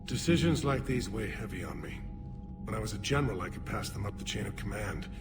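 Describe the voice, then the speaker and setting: An actor playing a burdened alien leader and former general in a video game, measured and grave. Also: male voice, on the low side, lively intonation, natural voice. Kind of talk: video game cutscene acting. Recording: music behind.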